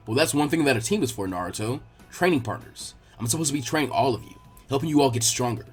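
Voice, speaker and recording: Guy doing a chill grown-up ninja sensei, low pitched, measured n reassuring, music underneath. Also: lively intonation, husky. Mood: encouraging. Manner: measured, reassuring, laid-back. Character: calm, encouraging adult male ninja teacher. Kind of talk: fan fiction character dialogue.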